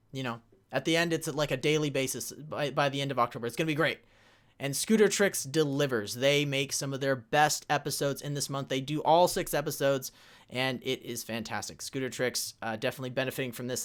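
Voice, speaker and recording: A man's voice: medium pitch, a bit bright. A man presenting a convention panel in an informal way, recounting a history with hesitant starts and emphatic bursts.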